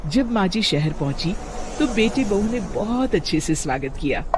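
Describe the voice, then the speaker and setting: A woman's voice: medium pitch, animated, normal speed, heavy background noise. A woman narrating a scripted cartoon family story as a storyteller.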